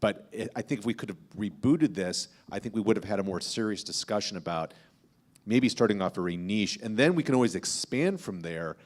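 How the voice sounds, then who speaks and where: A man's voice: fairly low pitch, animated, a little fast. A man speaking as a podcaster on a panel, explaining his advice analytically with examples.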